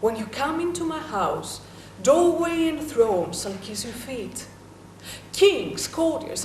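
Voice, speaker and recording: A woman's voice: high voice, darkish tone, recorded from a distance, a little hiss or hum. A woman voicing a proud, seductive goddess who grandly courts a king, in a theatrical dramatic reading. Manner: grand, coaxing, seductive declamation. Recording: echoey room, voice from off-mic, faint ambience.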